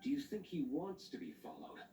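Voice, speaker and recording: An actor playing a composed, observant knight investigator in a fantasy video game, articulate, measured and wry. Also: male voice, on the high side, sing-song, warm tone. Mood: wry, thoughtful. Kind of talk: scripted video game voice acting. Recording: echoey game audio with clear noise.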